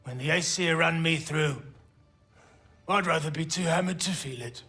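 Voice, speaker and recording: Sardonic boozy dwarf, bright hard edge, slightly high pitch, grumbling, game cutscene, music behind. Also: male voice, normal speed. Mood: wry, defiant. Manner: wry, wordy, grumbling. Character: hard-drinking, sardonic male dwarf resistance leader. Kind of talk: video game cutscene acting.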